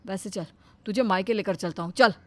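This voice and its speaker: A woman voicing a flustered young husband who talks fast and placating. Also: darkish tone, no echo, natural voice. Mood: worried. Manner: quick, low, placating.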